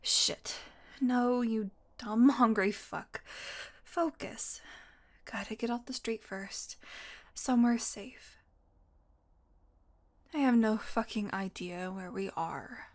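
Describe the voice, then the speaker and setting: A sardonic, flirty vampire woman, played by a voice actress for an intimate audio roleplay. Her voice is fairly high and she takes her time, teasing like a casual predator. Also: slow pace, long pauses. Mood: strained, hungry, desperate.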